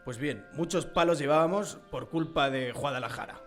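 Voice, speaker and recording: A man's voice: medium pitch, normal speed, close to an everyday voice. A man playing a stern tailor father who warns his young son, in a radio drama. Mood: grim, reminiscing. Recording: music under the voice.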